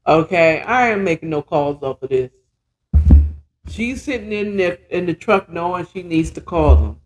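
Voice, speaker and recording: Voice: medium pitch, lively intonation, moderate pace, soft background music, rough recording. A podcast host casually retelling a story with dramatic, conversational emphasis, repeated words and blunt, slangy, profane commentary.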